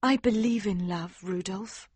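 A man voicing a gentle, dutiful young princess in a storybook reading, speaking softly and earnestly. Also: high voice, measured pace, husky, dry recording, pitched above the performer's own voice. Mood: tender. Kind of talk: audiobook character voice.

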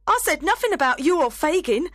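A man's voice: extremely high pitch, sing-song, fairly quick, a bit bright, no echo, studio quality, pitched above the performer's own voice, full character voice. A man reading a story aloud, voicing a frightened young woman who pleads desperately for her life. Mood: pleading.

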